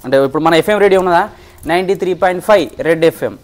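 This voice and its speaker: A man with a Telangana Telugu accent talks quickly in a slightly high voice. He explains things casually to friends, step by step, with everyday examples. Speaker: vlogger demonstrating a gadget.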